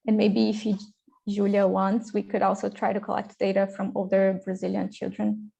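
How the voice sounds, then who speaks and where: A woman's voice: mid-range voice, flat delivery, normal speed, gravelly, warm tone, slightly rough, low-quality recording. A woman presenting research in an online academic panel, talking with hesitant fillers and restarts.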